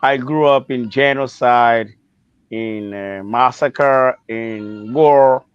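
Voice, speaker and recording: Slow, smooth-voiced man. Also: mid-range voice, dry recording. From eastern Congo. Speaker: guest. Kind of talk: personal introduction on a live talk. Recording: dry, dull lo-fi call line.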